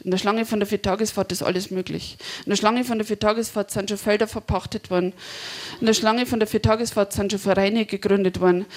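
A woman's voice: medium pitch, a little mellow. A woman in her forties with a Bavarian Regensburg accent and a slightly nasal voice, chatty and punchy, switching between a stand-up set and an interview. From Bavaria, Germany. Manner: chatty, punchy, self-mocking. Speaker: cabaret comedian.

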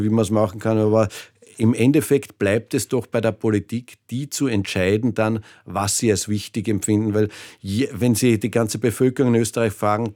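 A man explaining things in a measured way as an expert guest in a radio interview. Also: fairly low pitch, a little mellow, no room sound, studio quality. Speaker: economist, studio guest.

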